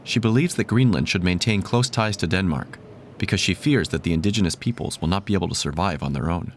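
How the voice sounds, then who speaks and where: A man reading scripted documentary narration in a measured, even tone. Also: deep voice, a little hiss or hum.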